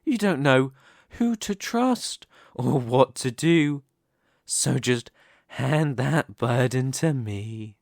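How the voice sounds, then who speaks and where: A male actor voices a seductive male demon king in an intimate ASMR roleplay. He takes his time over each phrase, patient and possessive, with smug condescension. His mid-pitched voice sits close to the microphone in a very dry space. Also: expressive, vocal fry, a little mellow, no room sound. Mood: coaxing, dominant. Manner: slow, deliberate, teasing, condescending. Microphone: close studio microphone, dry.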